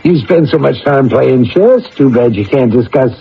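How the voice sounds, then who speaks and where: A man's voice: medium pitch, lively intonation, normal speed, gravelly. A man playing a wisecracking newspaper reporter in a 1980 radio drama, with a breezy, needling manner. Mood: teasing. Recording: dull lo-fi 1980 radio recording, faint noise.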